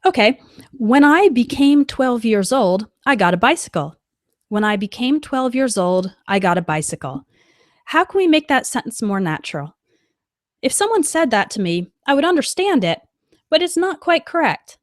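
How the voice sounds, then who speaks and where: A woman teaching an English lesson on a live stream, reading out example sentences slowly and clearly as she answers a chat. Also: a little high, very animated, dry recording, clean studio sound.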